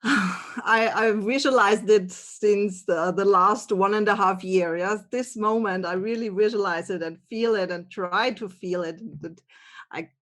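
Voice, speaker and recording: A woman from Austria, a guest author talking in halting with many restarts and light laughter. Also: medium pitch, a bit bright. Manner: halting non-native English, frequent laughs and restarts. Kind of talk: interview conversation.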